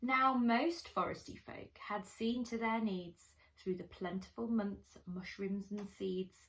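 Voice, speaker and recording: A woman reading a children's picture book aloud expressively, with dramatic pauses and chatty asides to young listeners. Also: mid-range voice, steady tone, fairly bright tone, low-quality recording. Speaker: primary school storyteller.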